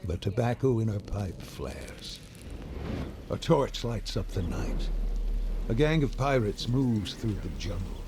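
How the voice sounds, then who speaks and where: An actor playing a gritty, theatrical storyteller who narrates a pirate legend in an ominous, deliberate way for an audio drama. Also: male voice, fairly low pitch, expressive, background noise. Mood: ominous, dramatic.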